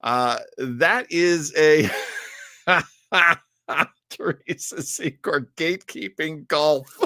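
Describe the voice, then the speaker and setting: A man's voice: fairly high pitch, drawn-out words, sharp tone, no echo. A man hosting a live show about old-time radio, talking casually to listeners in a hesitant, rambling, conversational way.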